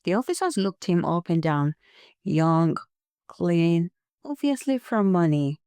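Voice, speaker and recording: A woman narrates a story in a slightly low voice, taking her time over each beat. Her delivery is dramatic and suspenseful, built on short punchy phrases and wry casual asides. The recording is close and dry. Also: big pitch swings, slow pace, dark tone, clear, resonant tone, no room sound.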